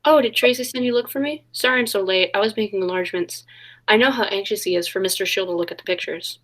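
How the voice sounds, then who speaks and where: An actress playing a trusting, polite heroine in a 1940s-style radio detective play, speaking earnestly. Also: female voice, mid-range voice, fast, fairly bright tone, lightly acted. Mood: innocent.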